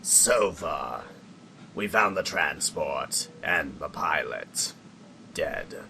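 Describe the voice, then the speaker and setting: An actor playing a stern, aging alien emperor and father with a grave, gravelly delivery in a comic dub. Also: animated, bright, forceful tone, slight background noise, full character voice. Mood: grim. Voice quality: deep, gravelly. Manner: grave, dramatic, deliberate. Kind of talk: comic-dub drama acting.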